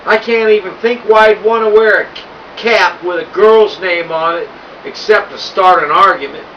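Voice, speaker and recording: A man's voice: high-pitched, moderate pace, recorded from a distance, lo-fi sound, noisy background. A man reading a children's novel aloud in the first person, with a wry, conversational delivery. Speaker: audiobook narrator.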